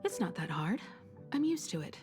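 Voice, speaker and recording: An actress playing a composed, gentle, dryly teasing grown daughter in a video game, soft-spoken and measured. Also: female voice, fairly low pitch, big pitch swings, music in the background. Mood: calm, informative.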